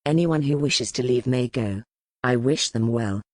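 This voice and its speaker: A man playing a grim, weary, commanding medieval duke in an audiobook, speaking in formal, measured phrases. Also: medium pitch, a little mellow, no echo, natural voice. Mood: weary, resigned. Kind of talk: dramatized audiobook dialogue.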